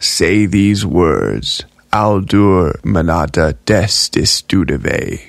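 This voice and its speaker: A man voicing an ancient male jar spirit who speaks slowly and formally, with a deep commanding tone. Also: lively intonation, creaky, darkish tone, breathy edge, dry recording, clean studio sound, heavily acted. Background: music.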